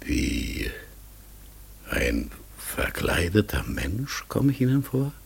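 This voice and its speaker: An actor playing a talking raven in a radio play, polite, forgetful and melancholy, with a hoarse, breathy voice and a halting, searching way of speaking. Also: male voice, sits low, wildly swooping pitch, heavily acted. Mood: guarded, courteous. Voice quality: hoarse, gravelly, breathy, often dropping to a whisper. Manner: slow, halting, earnest, searching for words. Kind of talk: classic German radio play acting.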